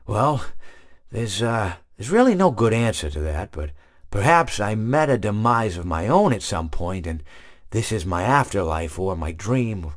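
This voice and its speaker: An actor playing a friendly, long-winded animatronic hippo who rambles through meandering stories, full of restarts. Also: male voice, lowish voice, big pitch swings, measured pace. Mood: rambling.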